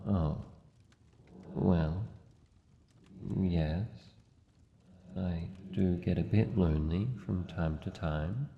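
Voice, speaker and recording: An Australian man playing a wary, lonely living shadow monster in a fantasy ASMR roleplay, speaking in a formal, halting, guarded way. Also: deep voice, gravelly, full character voice. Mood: guarded, softening. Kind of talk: fantasy ASMR roleplay, slow deliberate delivery. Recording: muffled, long cavernous reverb, faint noise.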